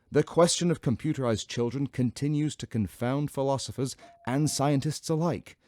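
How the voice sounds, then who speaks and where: An actor playing a professor who narrates a formal history lecture in a measured way. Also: male voice, medium pitch, a little mellow, no room sound, studio quality. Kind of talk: scripted narration.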